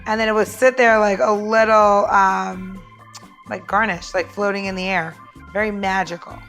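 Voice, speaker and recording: A woman's voice: medium pitch, fairly even intonation, normal speed, a bit bright, soft background music. A woman podcast co-host casually walking listeners through making a cocktail, with a chatty, loose delivery full of fillers.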